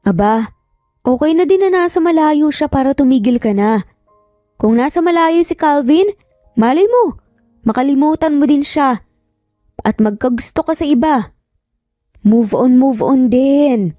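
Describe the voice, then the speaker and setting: Woman doing annoyed big brother in a story, curt and scolding, high pitched. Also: lively intonation, a little slow, long pauses, mellow, no room sound, studio quality, faint music behind. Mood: dismissive. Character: dismissive, exasperated teenage older brother.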